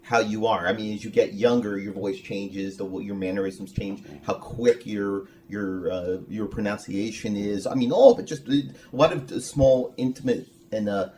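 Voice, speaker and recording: A man with a fairly low voice hosts a casual interview. He is wordy and rambling, circling back to restate his points. Ambient noise sits behind his voice. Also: steady tone, moderate pace, hardly any pauses, warm tone. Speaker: interviewer, podcast host. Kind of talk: casual, admiring interview talk. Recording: noisy room recording.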